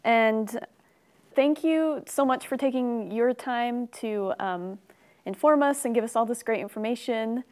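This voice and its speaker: A woman's voice: fairly high pitch, measured pace, darkish tone. A young woman hosting a webinar in a studio, reading out questions and making upbeat announcements. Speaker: webinar host. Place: studio set.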